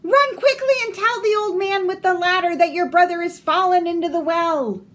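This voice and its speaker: A stern storybook mother, distracted by her washing, who scolds her child in a sharp, high voice, as a woman reading aloud puts her on. Her tone climbs and dips steeply. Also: very animated, takes it slowly, exaggerated voice. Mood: alarmed.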